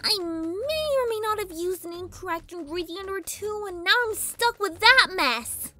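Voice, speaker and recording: A woman's voice: very high voice, animated, normal speed, sharp tone, full character voice. A woman voicing a bratty, scheming little filly villain in a whiny, theatrical manner for a dramatized story reading. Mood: exasperated. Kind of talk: dramatized fan fiction reading. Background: background music.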